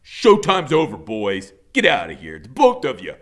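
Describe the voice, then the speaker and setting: A scornful storybook bully, acted out by a man, gruff and threatening. His curt delivery is bright and hard, swinging widely in pitch. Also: huge pitch swings, moderate pace. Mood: annoyed. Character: menacing tattooed tough guy, scornful bully.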